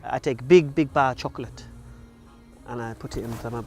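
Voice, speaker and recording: A man's voice: medium pitch, brisk, darkish tone, faint music behind. A man of forty who grew up in Wales, speaking frankly about his life in a casual interview, with frequent ums and uhs. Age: 40s. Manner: frank, plain-spoken, with many ums and uhs. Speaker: interview guest. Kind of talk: casual personal storytelling.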